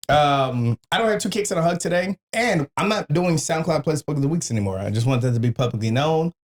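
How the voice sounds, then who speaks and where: A man's voice: fairly high pitch, lively intonation, quick pace. Casual, profane male podcast host. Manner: profane, slangy, informal storytelling.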